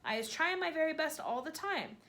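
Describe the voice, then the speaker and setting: A woman voicing a huge, very old and kindly male giant who jumbles his words with eager, excitable made-up phrases in a storybook reading. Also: sits high, brisk, pitched above the performer's own voice, heavily acted. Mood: earnest, explaining. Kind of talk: storybook read-aloud.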